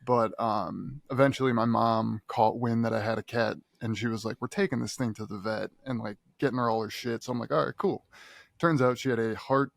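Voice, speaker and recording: A man's voice: mid-range voice, steady tone, a little fast, vocal fry, no echo. A man hosting a podcast in casual chat, rambling, laughing often and swearing freely. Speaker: podcast host.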